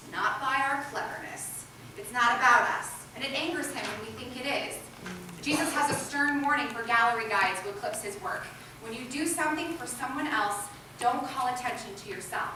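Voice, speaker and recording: A woman giving a scripted dramatic reading in a readers' theatre group. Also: fairly high pitch. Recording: echoey hall, distant mic, loud noise.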